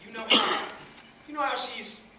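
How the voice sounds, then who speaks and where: A man's voice: very high-pitched, unhurried. A young man acting a cheeky, sarcastic slacker in an amateur stage comedy, talking casually and teasingly. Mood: coaxing. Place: theater stage, indoors. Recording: echoey theater, distant mic, clearly noisy.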